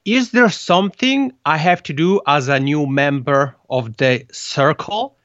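A man's voice: fairly high pitch, measured pace, a bit bright, dry recording, dull audio. A man in his thirties from the Italian-speaking part of Switzerland, with an Italian accent, a podcast guest who talks casually and often restarts his phrases. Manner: hesitant, repeats words while searching for phrasing. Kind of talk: conversational interview.

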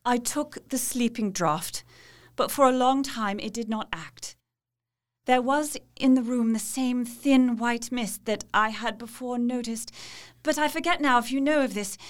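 An actress playing an anguished, devout Victorian wife, recounting her ordeal in a deliberate, theatrical dramatic monologue. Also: fairly high pitch, natural voice.

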